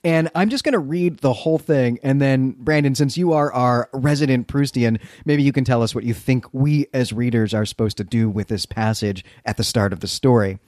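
A man co-hosting a literary podcast, speaking articulately in measured, discursive sentences. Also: medium pitch, darkish tone, dry recording, clean studio sound. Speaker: podcast co-host.

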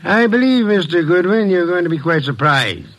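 Shrewd detective boss, high voice for a man, curt, pompous, deliberate, old-time radio drama. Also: big pitch swings, a bit bright, rough recording. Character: shrewd, commanding, curt master detective, older male boss. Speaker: private detective.

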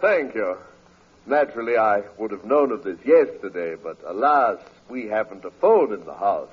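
A man's voice: lowish voice, lively intonation, normal speed, breathy edge. A man playing a pompous, greedy older uncle in a 1940s radio comedy, speaking in flowery, self-important phrases. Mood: lofty, wounded. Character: idle, pompous, freeloading old uncle, grandiloquent and greedy. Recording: dull old radio broadcast recording.

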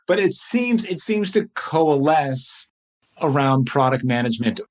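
A man's voice: fairly high pitch, animated, measured pace. A man hosting a podcast, interviewing a guest with curious follow-up questions. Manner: inquisitive, asks follow-up questions. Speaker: podcast host.

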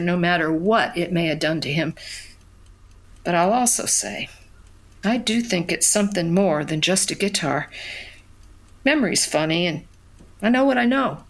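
Older mother, weary and haunted, stubborn, slightly low register, fiction podcast monologue. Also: a bit bright, heavily acted. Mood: weary, guarded.

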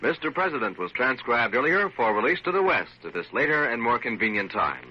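A man's voice: medium pitch, very animated, brisk, dull audio. An American man announcing in an old-time radio promotional style, emphatic and polished. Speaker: network announcer. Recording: noisy, band-limited old radio recording.

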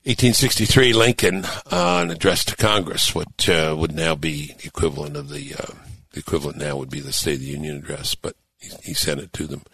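Deep-voiced older man musing on air. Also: a bit bright, slightly rough, no echo. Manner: thoughtful, halting restarts, builds to emphatic points. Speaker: host and author. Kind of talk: casual radio talk show conversation.

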